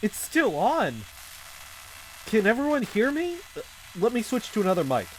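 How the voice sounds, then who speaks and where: A man's voice: high-pitched, sing-song, moderate pace, a little hiss or hum, low-quality recording. A man playing a shaken but stubborn podcaster in an audio drama. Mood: dazed, determined. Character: shaken, stubborn male podcaster determined to expose the truth.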